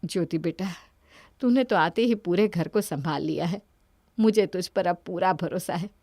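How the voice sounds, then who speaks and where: A woman's voice: lowish voice, moderate pace, dark tone, no room sound, studio quality. A woman voicing a kindly, protective older mother-in-law in a cartoon family story. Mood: affectionate. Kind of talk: Hindi cartoon moral-story voice acting.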